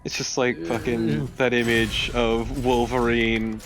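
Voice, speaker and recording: A man's voice: medium pitch, little pitch movement, takes it slowly. A man co-commentating on a video game with casual, joking banter and plenty of swearing. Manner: profane, joking. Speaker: let's play co-commentator.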